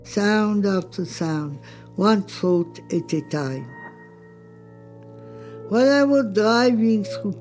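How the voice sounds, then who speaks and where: Older woman, slow poetic reading, mellow tone, contemplative, music underneath. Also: medium pitch. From Lebanon. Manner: measured, contemplative. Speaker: author reading own text.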